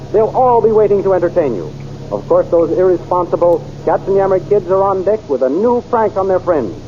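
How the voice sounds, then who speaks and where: Man, radio announcer, enthusiastic, salesy, 1930s, muffled recording. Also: high-pitched. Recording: dull old radio recording with music.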